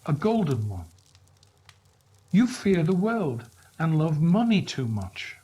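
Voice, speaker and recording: A man voicing a gentle, sorrowful young woman in a storybook reading, speaking softly. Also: on the high side, very animated, slow pace, husky, full character voice. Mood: gentle reproach.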